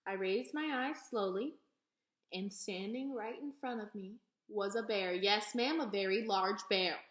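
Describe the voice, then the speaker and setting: A woman's voice: mid-range voice, unhurried, natural voice. Lady doing an old librarian lady from a storybook, folksy n proud, repeats stuff for emphasis, echoey. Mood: proud, reminiscing. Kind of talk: storybook read-aloud character voice. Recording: echoey room.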